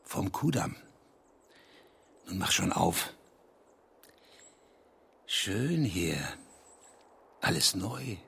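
An actor in a literary radio drama playing a restless, gruff, evasive East German poet with terse phrasing. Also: male voice, deep voice, lively intonation, drawn-out words, long pauses, noisy background, exaggerated voice. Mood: restless, eager.

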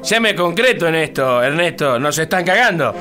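A man playing a slow-witted, blunt governing politician who stumbles and hesitates, with an Argentine accent. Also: on the high side, sing-song, over music. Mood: blunt, sly. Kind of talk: political satire radio sketch.

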